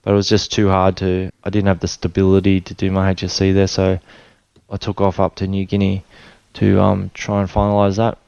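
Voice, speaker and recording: A middle-aged Australian man telling his life story in a relaxed, rambling way with many fillers and restarts. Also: low voice, fairly quick, vocal fry, smooth, dry recording, highs cut off. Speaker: interview guest. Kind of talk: casual storytelling interview.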